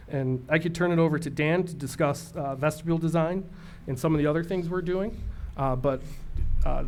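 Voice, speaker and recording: A man presenting at a school board meeting, speaking haltingly with many fillers. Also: medium pitch, a little hiss or hum. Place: meeting room.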